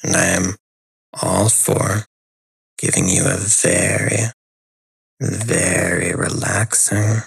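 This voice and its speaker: A man speaking soft ASMR as a hypnotist, hushed and breathy, soothing and deliberate, close to the microphone. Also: low-pitched, steady tone, dry recording, rough recording. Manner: slow, soothing, deliberate with pauses.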